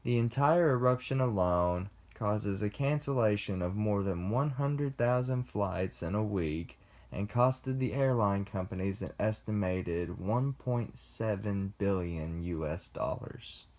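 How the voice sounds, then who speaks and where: A young man giving a class presentation, reading slowly and deliberately with pauses. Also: lowish voice, darkish tone. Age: young adult. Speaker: student presenter. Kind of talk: class presentation read from script.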